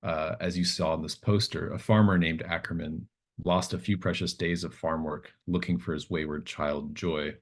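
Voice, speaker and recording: A very deep-voiced man acts as game master for a tabletop roleplaying session. He explains scenes in an improvised way, pausing to think aloud as he feels out the story. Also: fairly even intonation.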